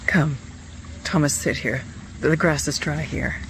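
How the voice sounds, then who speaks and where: An actress playing a gentle, consoling wife in a radio drama, with a soothing manner. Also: female voice, deep voice, steady tone, measured pace, background noise. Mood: gently urging.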